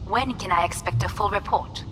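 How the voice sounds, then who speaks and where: A woman's voice: mid-range voice, moderate pace, music in the background, close to an everyday voice. An actress playing a composed female corporate superior in a video game, polite and formal. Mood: courteous, businesslike. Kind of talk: cinematic video game acting.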